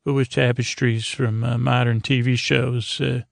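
Very creaky, meandering male podcast host. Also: mid-range voice, level tone, no room sound, clean studio sound. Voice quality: creaky, gravelly. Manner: meandering, halting, repeats and stammers; goofy, oddball. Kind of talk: rambling bedtime-story monologue.

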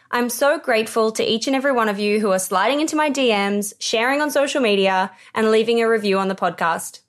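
Female podcast host, slightly high voice, for show intros and interviews.

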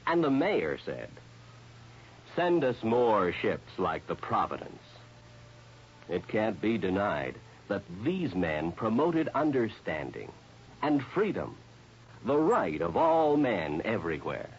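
A man's voice: fairly low pitch, big pitch swings, slow pace, a little hiss or hum. A man reading scripted patriotic narration as an old-time radio announcer. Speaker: armed forces radio announcer. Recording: dull vintage radio recording.

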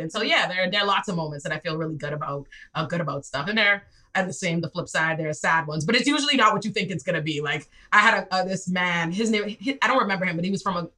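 A Bahamian woman in her twenties, a public defender telling stories in a casual podcast chat, her voice leaping high when she acts out scenes. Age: 20s. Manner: lively, swings into high excited re-enactments. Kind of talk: animated storytelling in casual chat.

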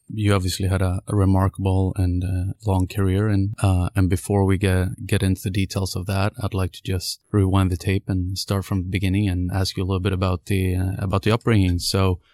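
A man's voice: deep voice, flat delivery, moderate pace, dark tone, no room sound, clean studio sound. A man interviewing a coach, asking long, winding questions full of restarts.

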